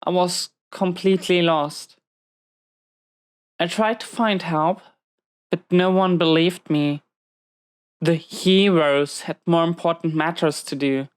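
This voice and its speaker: A low, smooth-voiced female villain behind bars, acted by a woman, speaking slowly in an intimate audio roleplay. She is wounded and weary, confiding in halting, reflective phrases. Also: fairly even intonation. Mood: pained recollection. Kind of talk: slow intimate audio roleplay monologue to a listener.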